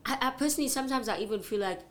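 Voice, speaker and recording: A woman with a deep voice in casual chat, reflective and hesitant, often repeating words while she thinks. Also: very fast, fairly bright tone.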